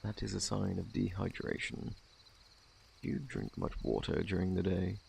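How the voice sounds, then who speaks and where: Deep, creaky British plague doctor played by a man. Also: lively intonation, normal speed, slight background noise, exaggerated voice. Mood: gentle, attentive. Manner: formal, gentle, reassuring, old-fashioned wording. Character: courteous, scholarly male plague doctor examining a patient. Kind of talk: ASMR roleplay, soft-spoken. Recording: faint night insect ambience.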